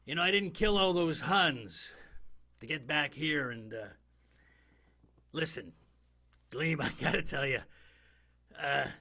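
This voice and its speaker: Male actor, 1920s police detective, war veteran, nostalgic, halting, lively swooping pitch, sharp bright tone, improvised radio comedy. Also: full character voice. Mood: earnest, hesitant.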